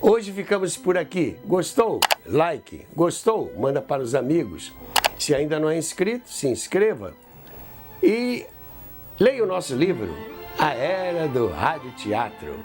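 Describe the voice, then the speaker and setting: A Brazilian man hosts a scripted history narration. His voice sits fairly high and swings widely in pitch. He tells it like a practised storyteller, with measured, emphatic phrasing. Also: big pitch swings, over music. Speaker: host of a radio history show.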